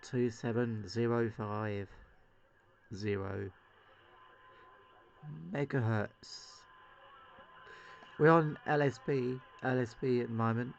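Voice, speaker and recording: A man's voice: mid-range voice, fairly even intonation, slow pace, long pauses. A man, an amateur CB radio operator, chatting casually as he demonstrates his set and puts out calls. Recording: dull lo-fi audio, clear background noise.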